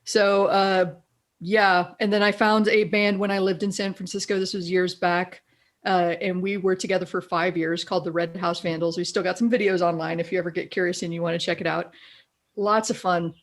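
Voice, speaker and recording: A middle-aged woman with a deeper, raspy voice, a guest in a casual interview who tells animated stories and laughs often. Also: normal speed. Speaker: guest, copywriter.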